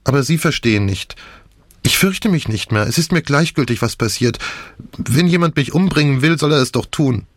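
A man's voice: medium pitch, moderate pace, no echo, studio quality, voice pushed up, lightly acted. A man reading an audiobook, voicing a shocked, weary young woman in a lifeless, resigned manner. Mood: bitter, resigned. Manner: lifeless, flat delivery. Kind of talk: audiobook dialogue.

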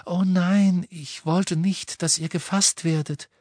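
A man voicing a gentle, dreamy teenage girl who speaks politely and unhurriedly in an audiobook story. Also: high voice, fairly even intonation, takes it slowly, dry recording, voice pushed up. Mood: gentle, calm.

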